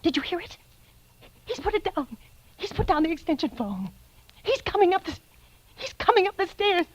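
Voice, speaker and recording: An actress playing a nervous, demanding invalid wife alone at night in an old radio thriller, stammering and breathless. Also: female voice, extremely high pitch, big pitch swings, moderate pace, slight background noise. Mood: hushed terror. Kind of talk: 1940s radio thriller acting. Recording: vintage radio drama recording.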